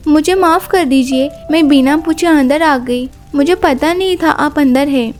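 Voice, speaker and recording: A woman voicing a curious, polite and innocent young girl in an animated moral story. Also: high voice, measured pace, soft background music.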